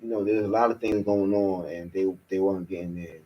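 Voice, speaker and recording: A man's voice: low voice, fairly even intonation, fast talker, highs cut off. A man, a rapper guest talking in a laid-back way with loose slang in a studio interview. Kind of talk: casual interview.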